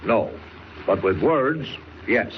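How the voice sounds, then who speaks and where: Gruff, animated newspaper editor. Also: male voice, mid-range voice, slow pace. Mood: indignant. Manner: forceful, oratorical. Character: gruff, indignant male city editor, middle-aged, bossy. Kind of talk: 1940s radio acting. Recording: muffled, faintly noisy old radio recording.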